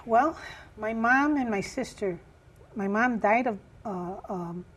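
A woman with a raspy voice, chair of a community group, answering interview questions earnestly with many pauses and fillers. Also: fairly high pitch, a little hiss or hum. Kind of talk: news interview guest.

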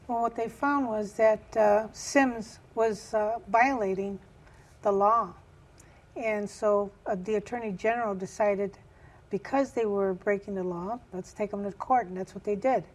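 A woman with a raspy voice, chair of a community group, answering interview questions earnestly with many pauses and fillers. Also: medium pitch, fairly even intonation. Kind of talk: news interview guest.

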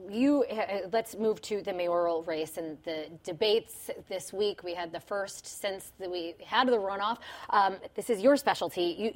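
A woman hosting a local news review program, reading headlines and then putting measured questions to a panel of journalists in a studio. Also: on the low side. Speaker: news show host and panel moderator. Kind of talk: broadcast news moderation.

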